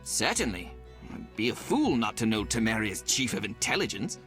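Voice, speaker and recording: Proud young prince, shrewd, formal, very expressive pitch, video game dialogue, faint music. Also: male voice, moderate pace. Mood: shrewd, self-assured.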